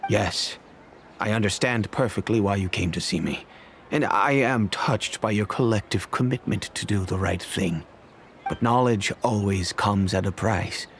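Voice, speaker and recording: An actor playing a grave older patriarch of a wealthy family, speaking in a measured, solemn storytelling manner. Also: male voice, lowish voice, slight background noise. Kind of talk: video game voice acting.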